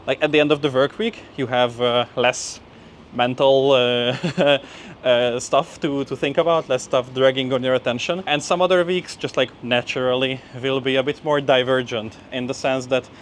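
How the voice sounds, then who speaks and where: A man's voice: mid-range voice, fairly even intonation, moderate pace. Young man vlogging outdoors over street noise. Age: young adult. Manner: informal, conversational, frequent "like" and "you know". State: slightly out of breath from walking. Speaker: PhD student vlogger. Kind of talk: casual walking vlog, talking to camera.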